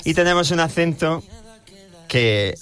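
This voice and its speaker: A man's voice: a little high, expressive, measured pace, fairly bright tone, soft background music. A young man from southern Spain, a guest musician chatting casually on a radio show, rambling and laughing easily. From Baza, Granada, Spain. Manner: laughing easily, rambling self-deprecating answers.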